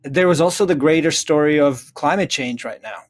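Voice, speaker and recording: A middle-aged man from Czechoslovakia speaking as an interview guest, earnest and reflective, haltingly building lists and rhetorical questions. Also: a little high. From Czechoslovakia, moved to North America. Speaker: interview guest, psychotherapist and author.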